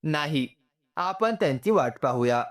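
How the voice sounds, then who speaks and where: A man's voice: a little high, animated, measured pace. Guy reading as a shy polite young woman in a story, bit hesitant, very respectful.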